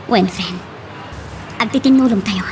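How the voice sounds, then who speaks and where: A woman's voice: medium pitch, a little slow, faint music behind, natural voice. An actress with an Ilocano accent playing an earnest, insistent young policewoman in a radio drama. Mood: businesslike.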